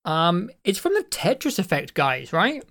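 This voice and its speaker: Croaky guy rambling about games, kinda high voice, swears a bit. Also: sing-song, unhurried, fairly bright tone. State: croaky, throat won't clear. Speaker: podcast co-host. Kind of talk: casual chat.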